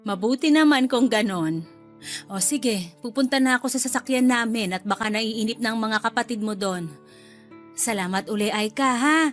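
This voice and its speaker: Woman acting high-voiced older Filipino mother-in-law. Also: moderate pace, close to an everyday voice. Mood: gracious, cheerful. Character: older mother-in-law, gracious, chatty, doting on her children. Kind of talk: Filipino radio drama acting. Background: music.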